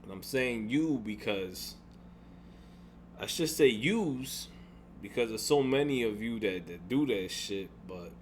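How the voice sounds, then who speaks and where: A young man with a deep voice venting in a casual vlog, frustrated and rambling, restarting sentences and swearing. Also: animated, takes it slowly, a little hiss or hum. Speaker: gaming YouTuber.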